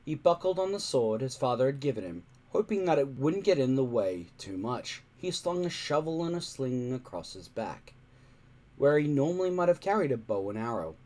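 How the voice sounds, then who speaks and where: A man reading a children's adventure story aloud in expressive narration. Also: mid-range voice, moderate pace. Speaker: reader.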